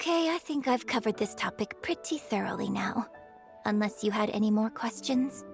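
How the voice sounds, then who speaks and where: A woman voicing a composed, shrewd and caring young woman in a video game, speaking in a measured, poised way. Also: medium pitch, lively intonation, gravelly. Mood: calm. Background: music.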